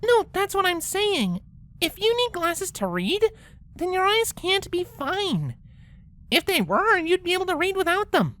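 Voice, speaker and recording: A woman's voice: very high voice, sing-song, a little slow, fairly bright tone, exaggerated voice. A woman voicing a polite, bookish young female cartoon pony princess, speaking with patient, pedantic precision. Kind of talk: character acting in a fan-fiction story reading.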